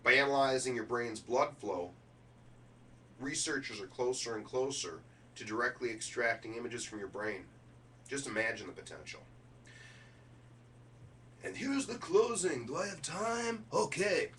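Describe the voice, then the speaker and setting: Middle-aged man, bright forceful voice, keynote rehearsal, emphatic with casual asides, distant mic, faint hum. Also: mid-range voice, lively intonation, measured pace, pauses often. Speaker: keynote speaker rehearsing a speech.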